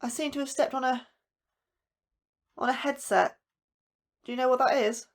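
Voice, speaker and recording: Horror podcast role: anxious female scientist, higher register, unsure and doubting. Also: steady tone, normal speed. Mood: frightened. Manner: hesitant, stammering, incredulous. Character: anxious, questioning astrobiologist new to the facility. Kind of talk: audio drama acting.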